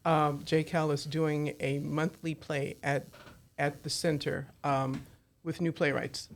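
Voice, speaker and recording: A woman's voice: deep voice, level tone, takes it slowly, slight background noise. A woman hosting a studio talk show, joking and teasing her guests playfully. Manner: playful, teasing, self-mocking. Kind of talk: casual studio chat with jokes.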